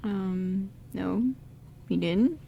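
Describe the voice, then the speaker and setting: A woman's voice: medium pitch, drawn-out words, gravelly, muffled recording, a little hiss or hum. A woman playing an insecure, earnest young man in an amateur radio drama. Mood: subdued, caring. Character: insecure, guilt-ridden young man, a loyal buddy.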